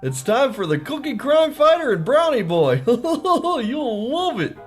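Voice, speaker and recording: A woman's voice: high voice, sing-song, a little slow, faint music behind. A woman voicing an overly cheerful, sing-song TV clown entertaining children. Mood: eager, giggly. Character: round, over-jolly, sinister TV clown entertainer.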